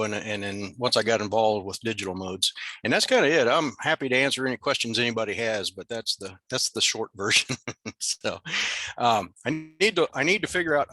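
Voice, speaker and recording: An older American man presents informally to a radio club over a video call. His voice is bright and sits at an average pitch, with a dry, close sound. He speaks in an easygoing, self-deprecating way. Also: no echo. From Nebraska, USA.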